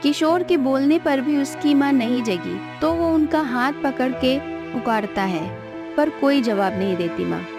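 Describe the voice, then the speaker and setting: A woman's voice: sits high, lively intonation, measured pace, music in the background. A woman narrating a scripted moral cartoon story as its storyteller.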